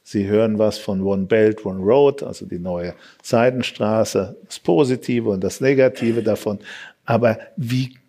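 A man's voice: fairly low pitch, unhurried, warm tone. A man explaining his view as an expert guest in a debate, with emphatic, punchy statements. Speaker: economic expert, panel guest.

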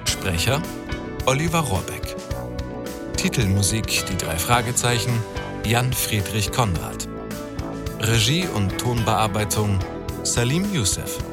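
A man announcing production credits in a measured, formal scripted narration. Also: lowish voice, big pitch swings. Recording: loud guitar theme music behind. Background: music with guitar.

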